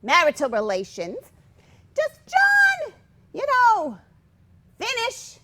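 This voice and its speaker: A woman's voice: extremely high pitch, wildly swooping pitch, slow pace, bright, forceful tone, slight background noise, full character voice. A woman acting a prim, fussy, hesitant older mother in a staged comedy reading. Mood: hesitant, probing. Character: prim, easily scandalised older mother, self-effacing and nosy.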